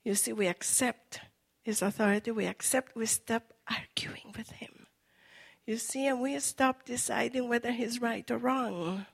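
A middle-aged woman from Costa Rica, a native Spanish speaker with a Costa Rican Spanish accent, preaching a sermon with animated storytelling and asides to her listeners. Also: fairly high pitch, a little slow, vocal fry, slightly rough.

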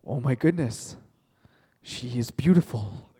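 A man voicing a lovestruck young male farmer in a mock Russian accent, with a low, dramatic, overdone delivery. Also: expressive, takes it slowly, mellow, breathy edge, long reverb tail, full character voice. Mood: smitten. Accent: put-on Russian.

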